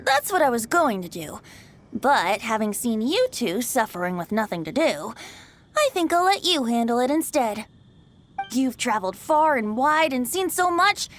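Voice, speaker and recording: Boyish game bard, high voice, swooping intonation, teasing, flowery phrasing, breezily smug, faint music behind. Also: female voice, big pitch swings, normal speed. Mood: breezy, persuasive. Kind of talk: video game voice acting.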